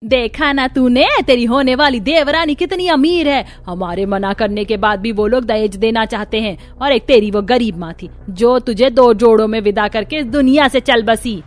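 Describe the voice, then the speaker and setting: A woman's voice: a little high, normal speed, hardly any pauses, natural voice. A woman voicing a bitter, greedy older mother-in-law in a cartoon, sarcastic and nagging. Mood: scornful, angry.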